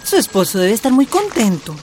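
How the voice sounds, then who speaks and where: A woman's voice: a little high, big pitch swings, a little fast, a little mellow, a little hiss or hum. A woman acting a chatty, prying clinic receptionist in a radio soap opera, matter-of-fact in her questioning. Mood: inquisitive.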